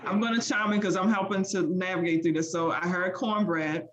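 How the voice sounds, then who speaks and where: A middle-aged woman in casual conversation on an online call. She reacts quickly and likes to chime in, with faint noise behind her voice. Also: lowish voice, fairly even intonation, lo-fi sound. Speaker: chef and co-presenter. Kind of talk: casual chat.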